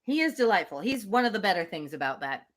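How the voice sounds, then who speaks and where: A woman reading a classic novel aloud on a livestream, expressive, slipping between literary narration and casual, playful asides. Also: mid-range voice, fast.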